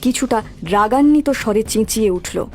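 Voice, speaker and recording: A woman's voice: fairly high pitch, lively intonation, normal speed, a little mellow. Woman narrating horror tale over music. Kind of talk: scripted dramatic horror story narration. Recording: background music throughout.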